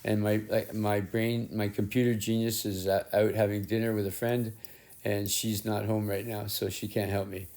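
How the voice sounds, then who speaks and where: A middle-aged male artist with a rough, raspy voice, casually narrating his work in a rambling, self-deprecating way with frequent restarts. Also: fairly low pitch, flat delivery, moderate pace, darkish tone. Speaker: collage artist and painter. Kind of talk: casual narration while working. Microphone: head-mounted action camera.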